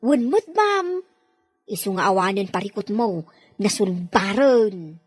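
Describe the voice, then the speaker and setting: A woman's voice: medium pitch, lively intonation, takes it slowly, breathy edge, faint music behind. A woman with an Ilocano accent acting a deferential, reassuring older household nanny in an audio drama.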